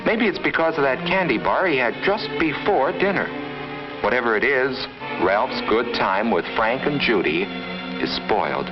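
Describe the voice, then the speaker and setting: A man's voice: mid-range voice, animated, measured pace. A man narrating a 1950s educational film from a script, in an instructive, storytelling manner aimed at children. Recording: muffled vintage 1950s film soundtrack. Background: background music.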